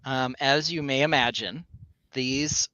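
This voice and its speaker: A man co-hosting a live stream, chatting loosely with lots of ums and restarts. His voice is bright and forward, and it sits dry and close on a somewhat dull, lo-fi recording. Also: medium pitch, takes it slowly, no room sound. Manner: rambling with many fillers and restarts. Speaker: co-host. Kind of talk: casual live-stream chat.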